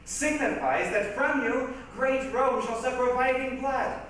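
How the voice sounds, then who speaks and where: A man playing a persuasive, flattering Roman conspirator in deliberate, declamatory stage style. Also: very high voice, far from the mic. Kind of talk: reader's theatre stage acting. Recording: echoey stage recorded from afar, faint noise.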